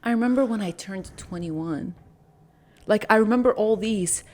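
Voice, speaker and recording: A woman in her late twenties co-hosting a podcast, relaxed and reflective, saying "like" often. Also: medium pitch, moderate pace. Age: late 20s. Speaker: podcast co-host. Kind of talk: casual chat.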